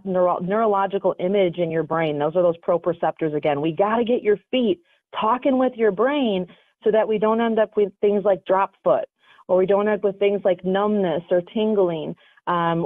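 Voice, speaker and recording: A woman guest on a radio show giving casual, energetic advice, talking over a phone line. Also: mid-range voice, no echo. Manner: energetic, chatty, self-correcting. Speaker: radio show guest, foot health coach. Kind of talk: casual explanatory radio talk.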